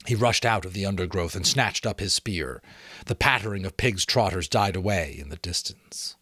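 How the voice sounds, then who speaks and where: A middle-aged man giving a dramatic, expressive amateur audiobook reading of a novel. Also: sits low, moderate pace, no room sound. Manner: expressive, theatrical storytelling. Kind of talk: dramatic audiobook-style narration.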